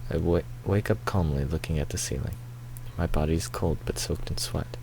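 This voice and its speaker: A man's voice: very deep voice, normal speed, gravelly, a little mellow, slight background noise, low-quality recording. A man reading the part of a troubled married photographer in his thirties, in subdued first-person visual novel narration. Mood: brooding, subdued. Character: troubled thirty-two-year-old married male photographer, brooding narrator.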